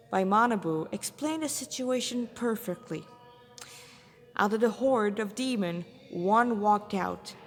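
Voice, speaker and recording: A woman narrating a fan fiction fantasy story, reading it aloud as its narrator. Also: a little high. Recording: reverberant hall-like room sound.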